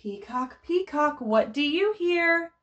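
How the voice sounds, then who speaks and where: A woman's voice: sits high, expressive, slow, fairly bright tone, muffled recording. A woman leading a storytime for babies as a children's librarian, reading in a sing-song way and speaking simply and encouragingly to little ones and their parents. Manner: encouraging, exclaiming, simple child-directed speech. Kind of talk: sing-song picture book read-aloud and coaching for babies and parents.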